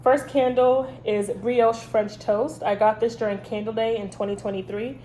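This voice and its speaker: A woman's voice: fairly high pitch, steady tone, moderate pace, dark tone, a little hiss or hum, rough recording. A woman with a head cold and a stuffy, congested voice, chatting casually as host of a review vlog with lots of ums. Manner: chatty, rambling, many ums. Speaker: candle review channel host.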